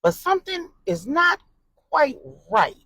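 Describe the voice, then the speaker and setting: A woman narrating an adult drama story in an expressive, suspenseful way and voicing the characters' dialogue. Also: high-pitched, unhurried, no echo, clean studio sound.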